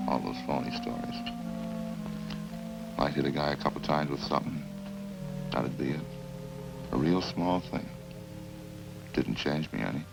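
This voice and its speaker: Casting: cold-blooded confessed killer, bottom-of-the-range gravelly voice, casual and matter-of-fact, vintage radio drama. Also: male voice, rumbling low, rough recording. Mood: indifferent, matter-of-fact. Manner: flat, casual, unhurried confessing. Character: matter-of-fact, remorseless male drifter confessing to killings. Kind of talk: old-time radio crime drama acting. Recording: old radio recording with music behind.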